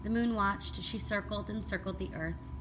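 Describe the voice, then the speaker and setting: A woman reading a children's picture book aloud as a teacher would, in an expressive, deliberate storytelling manner. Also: mid-range voice. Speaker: elementary school read-aloud teacher. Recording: clearly noisy background.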